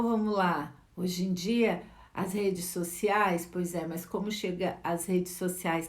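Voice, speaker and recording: A woman's voice: fairly low pitch, a little mellow. A middle-aged Brazilian woman, a life educator, gives a reflective teaching talk with a Brazilian Portuguese accent, posing rhetorical questions and adding frequent tag questions. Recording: echoey room.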